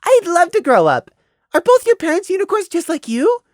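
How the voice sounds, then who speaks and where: A woman's voice: very high-pitched, huge pitch swings, normal speed, a little mellow, dry recording, studio quality, pitched above the performer's own voice, heavily acted. A woman voicing a malicious young colt who feigns sweet, wide-eyed innocence in a storybook reading. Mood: feigned wonder. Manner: chirpy, exaggerated wide-eyed enthusiasm.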